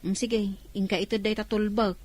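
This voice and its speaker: A woman's voice: mid-range voice, level tone, brisk, a little mellow, no room sound, close to an everyday voice. A woman playing a practical, mildly irritated village wife with an Ilocano accent in a comedy radio drama. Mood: cautioning.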